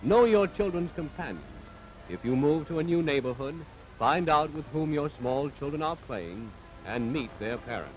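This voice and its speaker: A man's voice: a little high, expressive, moderate pace. An American man delivering scripted 1940s propaganda film narration in an earnest, authoritative, moralizing manner. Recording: dull vintage 1940s film soundtrack.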